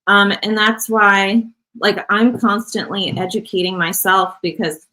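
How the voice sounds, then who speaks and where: A woman who works in medical device sales, speaking as a podcast guest in a relaxed, rambling way full of fillers. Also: mid-range voice, measured pace, poor audio. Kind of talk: casual podcast conversation.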